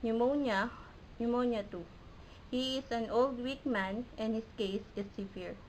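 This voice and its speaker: A woman's voice: on the high side, a little slow, darkish tone, slight background noise, lightly acted, rough recording. A woman playing a grave, matter-of-fact doctor in an amateur classroom puppet play.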